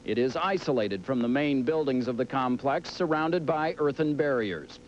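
Male American correspondent, mid pitch, scripted report, muffled sound. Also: animated, normal speed. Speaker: television news correspondent. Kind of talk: scripted news narration. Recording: muffled old broadcast tape, faint noise.

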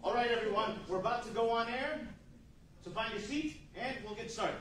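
A man's voice: very high voice, fairly quick, a bit bright. A man playing a theatrical, wry 1940s radio announcer and storyteller on stage. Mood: brisk, rallying. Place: large indoor meeting room with seated audience. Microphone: far camera. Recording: very echoey room from far off, faint noise.

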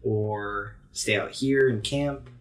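A man's voice: lowish voice, steady tone, slow pace. Guy running a tabletop game, chatty narration, bit of music behind. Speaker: game master.

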